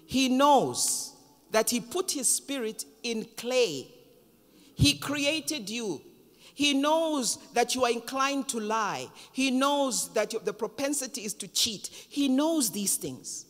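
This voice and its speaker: Zambian woman pastor in her fifties, fairly high voice, slow and dramatic delivery. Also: animated. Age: 50s. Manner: emphatic, slow and dramatic with pauses. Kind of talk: sermon. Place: large church hall, on stage at a pulpit. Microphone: handheld microphone. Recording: long reverb of a church hall.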